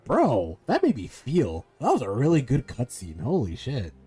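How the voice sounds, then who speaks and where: A man's voice: mid-range voice, wildly swooping pitch, moderate pace, warm tone, slightly rough. A man doing casual, slangy gameplay commentary as a streamer, repeating himself as he thinks. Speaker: game streamer. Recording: faint game music behind. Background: game music.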